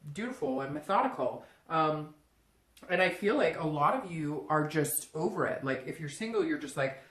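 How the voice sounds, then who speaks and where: Woman w a lowish voice doing a chatty tarot reading, talks right at you, pretty echoey room. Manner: conversational, often voices imagined quotes in first person. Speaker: tarot reader hosting a reading. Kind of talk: casual, unscripted tarot reading addressed to the listener. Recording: roomy, echoing room sound.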